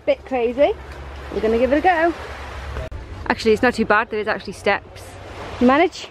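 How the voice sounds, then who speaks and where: A woman's voice: on the high side, lively intonation, normal speed, a little hiss or hum. A woman, talking upbeat to a handheld camera outdoors on a boat and beach. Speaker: travel vlogger. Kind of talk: casual travel vlog. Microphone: handheld action camera at arm's length.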